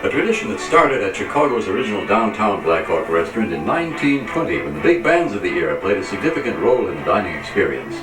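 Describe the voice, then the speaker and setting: A man's voice: lowish voice, sing-song, quick pace, hardly any pauses, roomy sound. An American man reading scripted radio sponsor announcements, picked up at a distance with music behind him.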